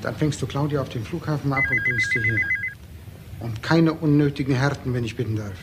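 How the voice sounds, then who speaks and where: An actor playing an older, commanding crime boss in a radio crime drama, paternal and menacing. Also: male voice, mid-range voice, brisk, natural voice. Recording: loud background noise.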